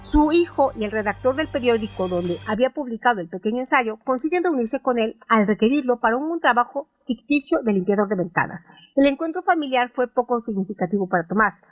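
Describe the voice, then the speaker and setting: A woman hosting a radio show, reading a scripted literary analysis in a measured, formal way. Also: on the high side, lively intonation, no echo. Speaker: radio host. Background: music bed.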